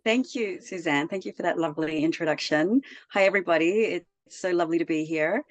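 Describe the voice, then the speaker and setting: A woman's voice: on the low side, brisk, no echo. A woman from Australia, a children's author presenting her book in an upbeat, articulate way. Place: Toronto.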